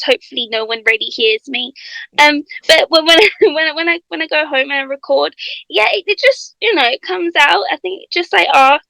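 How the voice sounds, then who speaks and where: High, gravelly woman. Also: sharp tone, no room sound, poor audio. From South Africa. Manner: chatty, laughs often, trailing restarts. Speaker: singer-songwriter guest. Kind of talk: casual radio interview chat.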